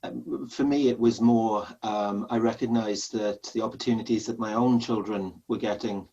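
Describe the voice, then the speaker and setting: A man's voice: lowish voice, steady tone, normal speed, warm tone, husky, distant mic, highs cut off. A Welsh man in his forties, speaking hesitantly with many ums as a panelist on a webinar. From Wales. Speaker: panelist, charity founder.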